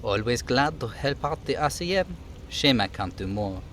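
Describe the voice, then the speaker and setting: A jolly, sly union striker from a video game, played by a man. He chats in a folksy, teasing way, pitched up and loose, over audible background noise. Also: expressive, moderate pace, a bit bright, close to an everyday voice. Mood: friendly.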